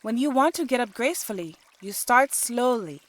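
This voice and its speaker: A woman narrator puts on a young woman dancer from an audiobook tale. She is playful yet determined, pitched up and emphatic, like an elder sister who knows her art. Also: animated, moderate pace, close to an everyday voice. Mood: encouraging. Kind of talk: audiobook character dialogue.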